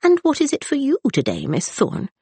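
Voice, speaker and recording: A woman's voice: sits high, wildly swooping pitch, brisk, dark tone, no echo, pitched above the performer's own voice, exaggerated voice. A woman voicing a plump, chatty Regency society matron with a fussy, gushing manner.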